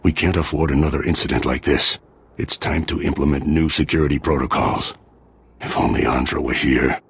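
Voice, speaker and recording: Very deep gravelly officer. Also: male voice, steady tone, a little fast, exaggerated voice. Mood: grateful, measured. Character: very deep gravelly grateful male officer, measured and formal. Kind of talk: video game cutscene acting.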